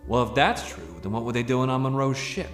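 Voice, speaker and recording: A man's voice: medium pitch, animated, a little fast, lightly acted. A man plays an easygoing, wry police officer in a radio drama. He talks casually in a mid-range voice, with noticeable echo and faint music behind. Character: easygoing male police officer at crime scene. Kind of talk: pulp radio drama acting.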